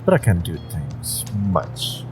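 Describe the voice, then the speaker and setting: A low, slow-talking jaded driver with a secret past, acted by a man in an audio roleplay. He is wry and world-weary, speaking in hesitant, offhand bits over clearly audible music. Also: huge pitch swings, heavily acted. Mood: wry teasing. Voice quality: low, gravelly. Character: jaded, evasive male Omega driver, secretly a former judge. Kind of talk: intimate conversational audio roleplay acting. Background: music and background ambience.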